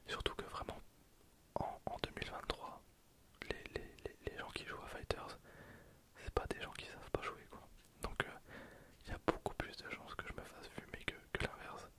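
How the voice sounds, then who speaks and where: A man whispering casual, breathy ASMR commentary over gameplay in an informal way. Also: normal speed. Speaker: gaming video creator.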